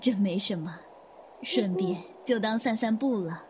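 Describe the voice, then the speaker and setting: A gracious middle-aged hotel manager played by a woman in an audio drama. Her mid-pitched voice is soft, polite and a little weary, over faint background ambience. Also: measured pace, a little hiss or hum, lightly acted. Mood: friendly. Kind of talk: Chinese audio drama acting.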